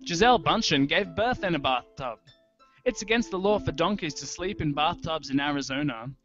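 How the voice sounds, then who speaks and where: Music plays clearly behind a young man reading literary fiction aloud. His voice sits a little high, and his delivery is measured and deliberate. The sound is somewhat dull, like a lo-fi online stream. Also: animated, fairly bright tone. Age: young adult. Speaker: writer reading his own audio fiction. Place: indoor room with wooden ceiling. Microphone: handheld-style microphone close to mouth, video call. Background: prominent musical soundscape.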